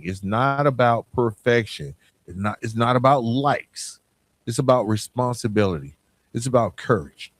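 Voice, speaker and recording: A man's voice: on the low side, no echo. A man hosting a solo motivational podcast, speaking in an earnest, emphatic way with frequent check-ins to the listener. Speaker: podcast host.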